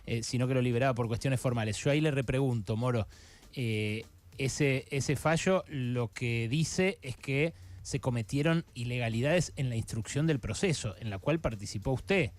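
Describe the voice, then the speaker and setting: A man hosting a radio interview, speaking haltingly with many filler sounds and restarts. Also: medium pitch. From Argentina. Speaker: radio host.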